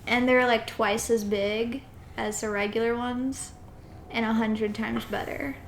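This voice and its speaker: A young woman from the southern United States co-hosts a casual, comedic podcast. Her voice sits fairly high. The recording is roomy, with a faint layer of background noise. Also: steady tone, measured pace. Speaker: podcast co-host.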